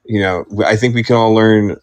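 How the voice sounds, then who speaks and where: A man with a fairly low voice speaks as a guest on a casual talk show. He is playful and likes to joke. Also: steady tone, a little fast, no room sound. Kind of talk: casual video-call talk.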